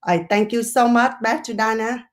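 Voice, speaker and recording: A woman's voice: fairly high pitch, moderate pace. Woman with a Vietnamese accent and broken English. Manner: broken English with many fillers. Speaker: guest.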